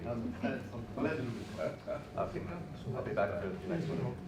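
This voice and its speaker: A man moderating a public meeting, polite and brisk as he passes the floor around. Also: fairly low pitch, background noise. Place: civic centre meeting hall. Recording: very echoey, distant, dull, with crowd.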